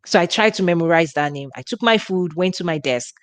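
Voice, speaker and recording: A woman's voice: fairly low pitch, brisk, no room sound. A woman with a Nigerian accent, giving an informal teaching talk for her ministry in a chatty way with frequent restarts. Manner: conversational, with frequent restarts and laughs. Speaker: ministry host.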